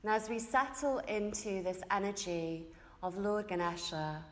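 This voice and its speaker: Slow-paced woman guiding meditation, long reverb. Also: mid-range voice. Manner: gentle, unhurried, phrase-by-phrase delivery. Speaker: yoga nidra teacher. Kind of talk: guided meditation with storytelling. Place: indoor yoga space during a group evening session. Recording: reverberant yoga studio.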